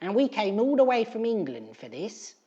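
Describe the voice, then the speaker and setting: A reader voicing a bad-tempered, sneering scraggy old woman who shouts in a shrill, screeching voice. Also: very animated, normal speed, muffled recording, close to an everyday voice.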